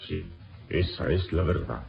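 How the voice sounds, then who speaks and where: An actor playing a calm, resigned adventurer hero in a radio serial, speaking in a measured, solemn, formal way. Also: male voice, very deep voice, gravelly, over loud music, heavily acted. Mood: grave. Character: calm, resigned turbaned adventurer hero, confessing under interrogation. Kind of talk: old radio serial acting. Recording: dull, noisy old radio recording.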